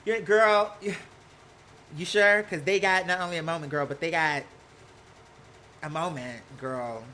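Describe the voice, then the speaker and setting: A live stream host with an American accent chatting casually and gossiping, sassy and animated, slangy and rambling from one aside to the next. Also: mid-range voice, a little slow, a little hiss or hum. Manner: sassy, slangy, peppered with "girl" and "honey". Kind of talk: casual live chat to viewers, gossipy commentary.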